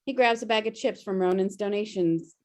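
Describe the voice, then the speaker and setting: Woman reading script directions, medium pitch. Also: brisk. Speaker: stage directions reader. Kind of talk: scripted narration at a table read. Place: remote video call.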